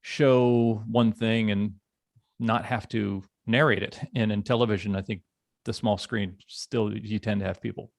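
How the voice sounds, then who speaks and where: A man hosting a podcast in casual chat, with a halting, thoughtful delivery. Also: fairly low pitch, steady tone, creaky, no echo, clean studio sound. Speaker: podcast host.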